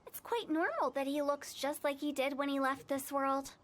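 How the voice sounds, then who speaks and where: A woman's voice: sits high, normal speed, very noisy, close to an everyday voice. A woman voicing a teasing young funeral director. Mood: playful. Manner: teasing, playful, cryptic. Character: mischievous young female funeral parlor director. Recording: game audio over music.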